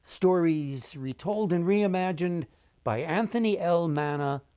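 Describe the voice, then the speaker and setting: An older man reading a children's story expressively, with dramatic pauses, addressing his listeners directly. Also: on the high side, dark tone, clear, resonant tone, no echo, studio quality. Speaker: author and storyteller.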